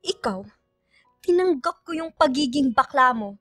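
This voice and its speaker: A stern, controlling mother in a radio drama. Her voice is high and forceful, with a dry, close sound. She scolds and gives orders as someone used to being obeyed. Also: lively intonation, unhurried, no room sound, faint music behind. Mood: angry, commanding. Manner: scolding, commanding. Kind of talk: Filipino radio drama acting.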